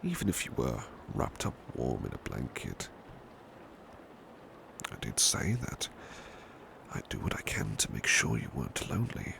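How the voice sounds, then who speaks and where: A man acts out a devoted British butler in an ASMR roleplay, with an extremely low voice full of creaky fry. He murmurs gently and courteously, a little hesitant, with pitch that swings widely from phrase to phrase. He sounds like a big, protective servant keeping close watch. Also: unhurried, pauses often, full character voice. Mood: reassuring, devoted. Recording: steady background noise, rain ambience. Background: rain ambience.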